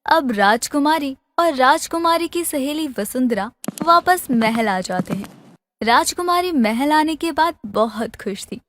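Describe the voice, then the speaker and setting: High-voiced woman narrating a fairy tale. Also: lively intonation, measured pace, a bit bright. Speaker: storyteller.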